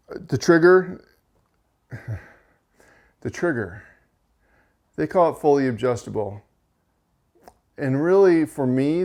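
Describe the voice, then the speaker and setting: Male channel host, mid-pitched monotone, casual product-review read with fillers and restarts. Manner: relaxed, with frequent fillers and self-corrections.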